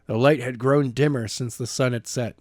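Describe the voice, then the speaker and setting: A man reading a novel chapter aloud as narrator, in a steady, plain reading voice. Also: mid-range voice, moderate pace, no room sound, clean studio sound. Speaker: audiobook narrator.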